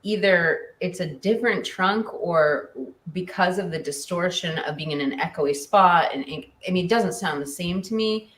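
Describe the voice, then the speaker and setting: A woman's voice: on the low side, moderate pace, hardly any pauses. A woman hosting a casual online chat show, enthusiastic and joking with her guest. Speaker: host. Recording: echoey room.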